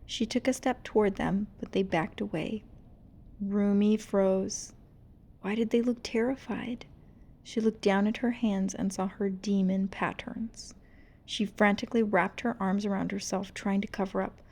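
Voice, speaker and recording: A woman narrates a storybook with drama and suspense. Her pace is slow and her pitch sits in the middle range, with expressive delivery. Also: creaky. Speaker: storybook reader. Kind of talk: dramatic read-aloud narration.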